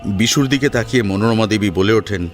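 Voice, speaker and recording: A man giving expressive scripted narration of a story as its narrator. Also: lowish voice, a little fast, soft background music.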